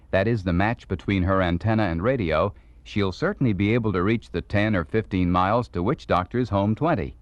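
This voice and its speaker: A man with a low voice narrates an instructional script, measured and explanatory. His pitch moves expressively, and the dry recording has the dulled top of an old record. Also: no room sound. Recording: dull vintage record sound.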